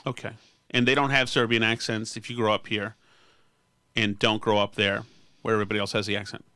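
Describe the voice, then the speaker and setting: A man's voice: on the low side, level tone, brisk, sharp tone, clean tone, dry recording, clean studio sound. An American man hosting a podcast in casual political chat, with a sardonic manner. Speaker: podcast host.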